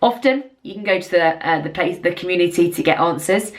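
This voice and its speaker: Lower-pitched woman presenting an explainer. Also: a little fast. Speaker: recruitment software consultant presenting a review. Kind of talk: semi-scripted explainer to camera.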